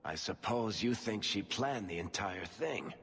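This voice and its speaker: An actor playing a sardonic male storyteller being questioned, speaking in a husky voice. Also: lowish voice, animated, a little slow, a bit bright, exaggerated voice. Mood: defensive. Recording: game audio with long reverb.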